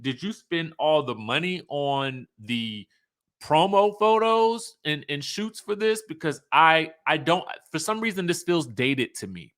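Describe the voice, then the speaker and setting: A man's voice: fairly high pitch, fairly bright tone. A man hosting a reality TV commentary show, speaking in a conversational, emphatic, opinionated way, sometimes reading out cast bios.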